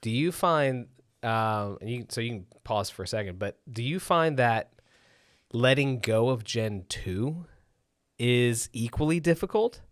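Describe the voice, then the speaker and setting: A man hosting a casual conversation, asking curious questions and chiming in with quick affirmations. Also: medium pitch, lively intonation, no room sound, studio quality. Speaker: host. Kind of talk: casual podcast interviewing.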